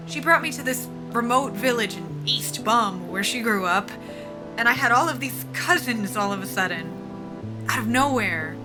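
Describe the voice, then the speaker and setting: A woman playing a desperate female military lieutenant in an audio drama, speaking earnestly and informally as she pleads her case. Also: fairly high pitch. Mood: earnest, defensive. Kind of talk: cinematic audio drama acting.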